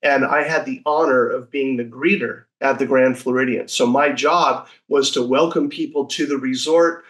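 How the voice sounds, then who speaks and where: A man's voice: medium pitch, steady tone, moderate pace, few pauses, a bit bright, rough recording. A man speaking as an interview guest in an enthusiastic, conversational storytelling way, now and then acting out short quotes.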